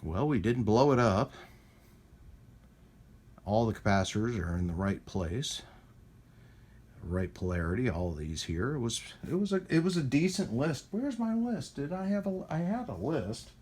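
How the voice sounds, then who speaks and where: A man's voice: medium pitch, very animated, unhurried, a little mellow. A man talking casually in a hands-on tech repair vlog, rambling and hesitant as he thinks aloud. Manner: rambling, hesitant, talks to himself while working. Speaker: host of a radio repair video. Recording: clear background noise around the voice.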